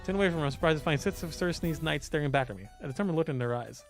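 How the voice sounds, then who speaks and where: A man's voice: fairly high pitch, very fast, soft background music. A man giving a dramatic read-aloud narration of a visual novel, now and then stumbling over his words. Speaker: game let's-play host and voice actor.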